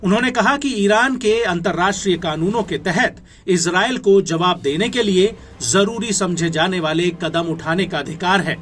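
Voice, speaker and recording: A man's voice: high-pitched, moderate pace, hardly any pauses, a bit bright. A man with an Indian accent reading scripted news narration in an emphatic, formal newsreader delivery. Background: background music.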